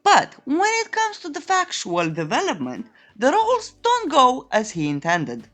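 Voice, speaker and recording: A woman narrates a playful recap with a coy, tongue-in-cheek delivery. Her voice sits a little high and swings very widely in pitch. Faint music plays behind the voice-over. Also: huge pitch swings, a little slow, fairly bright tone. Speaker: narrator of comic recap videos.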